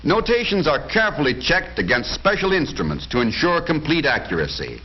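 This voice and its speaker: A man's voice: on the high side, sing-song, fairly quick, muffled recording. An American man narrating a 1940s educational documentary in a scripted, jaunty, folksy announcer style on a vintage film soundtrack.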